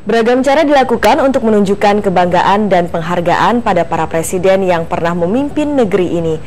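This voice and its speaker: A woman's voice: medium pitch, expressive, fairly quick, a little mellow. A woman presenting the news from the studio as anchor, formal in delivery.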